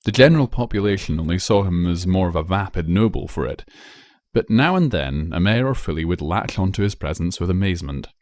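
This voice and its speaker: A man narrating a written story in an expressive storytelling style. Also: deep voice, a little fast, no echo, studio quality. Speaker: narrator reading fan fiction.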